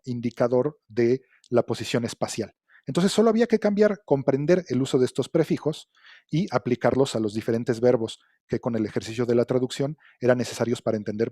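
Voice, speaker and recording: A middle-aged man giving an academic lecture, measured and explanatory, often checking in with "¿no?". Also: medium pitch, dark tone, no echo, clean studio sound. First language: Spanish. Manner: measured, explanatory, with frequent fillers and "¿no?" tags.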